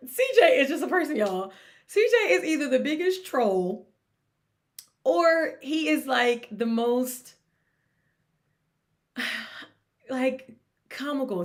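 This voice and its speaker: A young woman hosting a casual sports commentary vlog, talking in an animated, teasing way and laughing often. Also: sits high.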